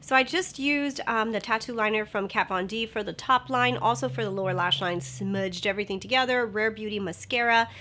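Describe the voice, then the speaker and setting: A young woman with a slightly nasal voice chatting casually through a makeup tutorial, upbeat and sometimes dropping to a soft whisper. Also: mid-range voice, a little fast, hardly any pauses, noisy background. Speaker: beauty vlogger.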